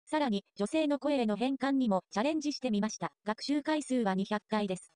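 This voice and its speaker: A woman reading scripted narration for a software tutorial. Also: fairly high pitch, expressive, brisk, no room sound, clean studio sound.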